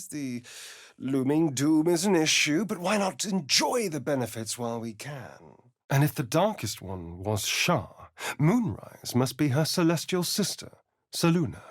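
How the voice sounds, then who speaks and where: An actor playing a sardonic, vain vampire spawn rogue in a video game, with a theatrical, drawling British delivery. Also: male voice, medium pitch, sing-song, unhurried, dry recording, studio quality. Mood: arch, amused. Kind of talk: video game character voice lines.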